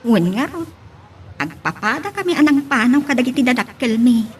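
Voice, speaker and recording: Actress as anxious, affectionate pregnant young woman for radio drama; high voice over noisy background. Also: big pitch swings, normal speed. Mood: earnest. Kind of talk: Ilocano audio drama acting.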